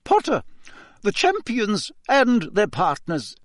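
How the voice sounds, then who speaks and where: A man's voice: high-pitched, wildly swooping pitch, slow, no room sound, clean studio sound, pitched above the performer's own voice, exaggerated voice. A man voicing a stern, strict older female teacher who speaks in a clipped, disapproving, firm way.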